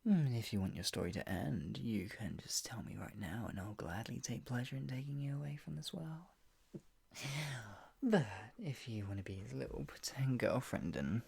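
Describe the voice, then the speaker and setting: A woman playing a menacing, obsessive yandere captor in an ASMR roleplay, husky and taunting, swinging between mock pity and threat, often dropping into a breathy whisper. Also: extremely low pitch, lively intonation, moderate pace, a little mellow, heavily acted. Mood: threatening, scheming. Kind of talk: ASMR roleplay, improvised monologue.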